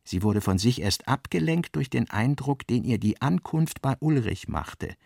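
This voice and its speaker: A male narrator with a medium-pitched, creaky voice reads literary prose in a dry, close recording. His phrasing is measured and deliberate. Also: expressive, a little mellow, dry recording.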